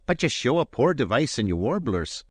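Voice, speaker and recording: A man reading aloud and voicing a proud Highland piper, coolly courteous and needling. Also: very animated, moderate pace, slightly rough, no echo, studio quality.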